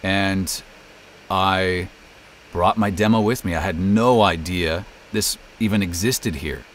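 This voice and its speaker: A man's voice: low voice, slow pace, fairly bright tone, a little hiss or hum. A middle-aged American man chatting casually in an interview as a voice actor and narrator. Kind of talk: casual interview, telling career story.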